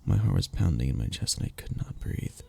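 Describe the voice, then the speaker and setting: A man playing a young, tender, self-deprecating lover in an intimate romantic ASMR roleplay, teasing softly in a low, husky, gravelly voice. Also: very animated, fairly quick, heavily acted. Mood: tender, wistful. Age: young adult.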